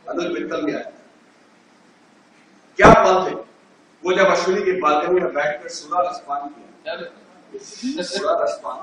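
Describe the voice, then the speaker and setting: A man's voice: sits high, a little slow, pauses often, fairly bright tone, slight background noise. A man on stage reading out a nostalgic, joking letter to an old college friend in an auditorium. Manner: nostalgic, jokey letter-writing tone. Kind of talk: stage play monologue. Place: large auditorium. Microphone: unamplified stage, far from the recorder. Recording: echoey hall from far off.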